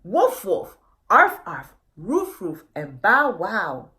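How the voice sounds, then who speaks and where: A woman voicing storybook animal families who bark out a search call in loud, rhythmic chanted words. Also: mid-range voice, wildly swooping pitch, takes it slowly, heavily acted.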